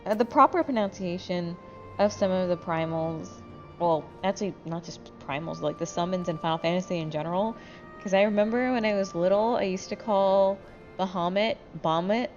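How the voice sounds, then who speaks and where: Sleepy, low-pitched woman chatting over game music. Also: a little fast, a little mellow, highs cut off. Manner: sweary, candid. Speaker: gamer commentating with friends. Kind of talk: casual chat over gameplay.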